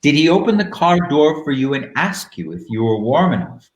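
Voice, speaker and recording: A man hosting a live online show in a camp, theatrical manner, moving between casual chat and dramatic reading with deliberate phrasing. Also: on the high side, sing-song. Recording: roomy, echoing live-stream sound.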